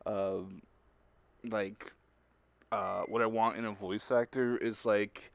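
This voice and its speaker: A man's voice: fairly low pitch, steady tone, takes it slowly, vocal fry, dry recording. A man co-hosting a casual stream chat, speaking informally with some casual swearing. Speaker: series creator and stream co-host.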